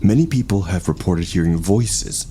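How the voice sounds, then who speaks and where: A man's voice: deep voice, expressive, normal speed, mellow, a little hiss or hum, voice pushed up. A man voicing an enthusiastic young amateur vlogger who narrates showily to an imagined audience. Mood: showy, earnest.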